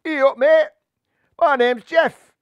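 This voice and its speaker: A man's voice: very high voice, fairly even intonation, takes it slowly, no echo, lo-fi sound, pitched above the performer's own voice. A man voicing a cocky male tomcat gang leader with a Yorkshire accent for a storybook. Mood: brash.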